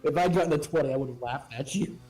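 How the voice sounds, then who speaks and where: A man's voice: a little high, fast talker, a little mellow, faint music behind, rough recording. A man playing a tabletop game, speaking in casual banter.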